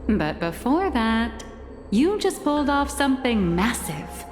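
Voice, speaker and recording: A woman's voice: a little high, big pitch swings, measured pace, in a large hall, faint music behind. A woman playing an easygoing, teasing female confidante in a video game, speaking casually and unhurriedly. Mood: wry, relaxed.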